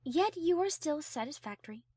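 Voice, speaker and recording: Very high-pitched, prim, haughty lady pony. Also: lively intonation, moderate pace, warm tone, dry recording, clean studio sound. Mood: prim, exasperated. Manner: prim, formal, refined diction. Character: haughty high-class female pony, prim and easily flustered. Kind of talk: fan audio drama character acting.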